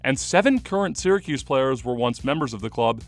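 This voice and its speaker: A man's voice: mid-range voice, animated, brisk, soft background music. A man reading a scripted sports radio report as the reporter.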